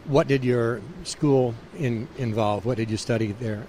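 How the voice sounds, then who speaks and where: A male radio host interviewing a guest, speaking in a measured way with frequent pauses. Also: medium pitch, darkish tone, a little hiss or hum.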